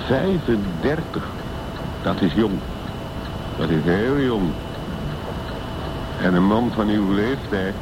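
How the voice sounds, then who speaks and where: An actor playing a worldly old private detective in a radio drama, deliberate, laconic and sardonic. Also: male voice, fairly low pitch, lively intonation. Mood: wry, knowing. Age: older. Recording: clear background noise.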